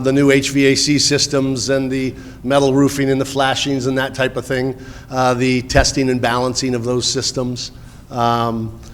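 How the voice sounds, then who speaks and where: A man's voice: mid-range voice, slight background noise. A man in his fifties from California, a union representative introducing himself in a down-to-earth, slightly nervous way. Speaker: union business representative, panelist.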